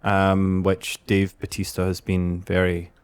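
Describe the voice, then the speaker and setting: A man on a podcast panel, explaining things casually with frequent pauses mid-sentence. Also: low-pitched, monotone, clear, resonant tone. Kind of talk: casual discussion.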